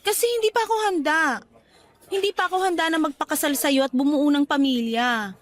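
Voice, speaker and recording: A woman's voice: high-pitched, brisk, close to an everyday voice. A woman acting as a playful but hesitant young girlfriend in a Tagalog radio drama. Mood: apologetic, reluctant.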